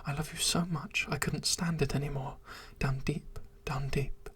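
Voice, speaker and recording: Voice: medium pitch, steady tone, measured pace, fairly bright tone, husky. A reader in an airy whisper voicing a lovesick scaly monster that pleads and repeats itself. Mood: pleading. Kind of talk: whispered story reading.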